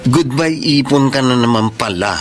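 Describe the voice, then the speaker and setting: A man's voice: medium pitch, normal speed, soft background music, natural voice. An actor playing a flippant, teasing male friend in a radio drama, playful and theatrical. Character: flippant, campy, teasing close friend named Renz. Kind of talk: Tagalog radio drama acting.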